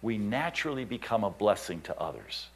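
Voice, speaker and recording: A man's voice: lowish voice, fairly quick, gravelly, slightly rough. A man, a missionary pastor telling stories in a sermon and acting out the voices of the people he quotes. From United States. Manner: animated storyteller, acts out quoted voices, chuckles. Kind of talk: storytelling sermon.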